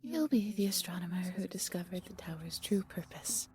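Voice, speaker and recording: A woman voicing an old grandmother storyteller in an audio drama, speaking in a breathy, hushed and deliberate way. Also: on the low side, lively intonation, a little hiss or hum, close to an everyday voice. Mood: ominous, urgent. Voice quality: breathy, whispery. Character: gentle old grandmother storyteller, turning eerie and insistent. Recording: music under the voice.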